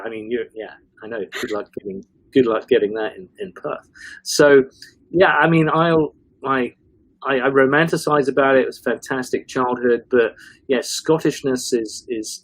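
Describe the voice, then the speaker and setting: A man's voice: mid-range voice, normal speed, dry recording, low-quality recording. A man with an English accent with some northern vowels, chatting casually as a guest and wandering through nostalgic stories with many restarts. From grew up in a British Forces family in Germany. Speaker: podcast guest. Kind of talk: casual interview chat.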